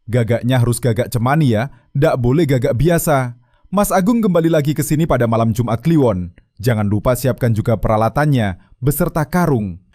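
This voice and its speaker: A man voicing a sleepy old male village shaman in an animated cartoon, folksy and rambling. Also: mid-range voice, fairly quick, no room sound, clean studio sound, natural voice. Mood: drowsy.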